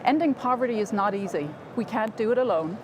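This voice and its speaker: A woman's voice: a little high, lively intonation, a little fast. A woman hosting a livestreamed interview series, introducing her guest and asking questions in an upbeat, fluent presenter manner. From Ireland. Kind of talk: scripted host introduction and questions. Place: outdoors in a city. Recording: outdoor city noise behind.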